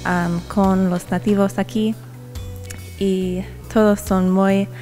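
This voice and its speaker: A woman's voice: mid-range voice, little pitch movement, mellow, faint music behind. Young woman speaking learner's Spanish. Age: young adult. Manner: simple learner phrasing with "um" pauses. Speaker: student. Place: university hallway. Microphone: handheld reporter mic.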